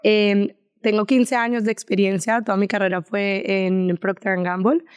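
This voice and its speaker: Colombian woman in her 30s, podcast co-host, mid-pitched, smooth, enthusiastic. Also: flat delivery, normal speed. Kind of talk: casual podcast chat.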